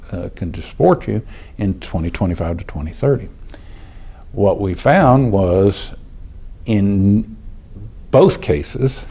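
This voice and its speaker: A middle-aged or older American man giving a measured, deliberate explanation in an interview, halting now and then as he phrases things. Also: low voice, creaky, mellow. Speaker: healthcare administrator, retired air traffic control official.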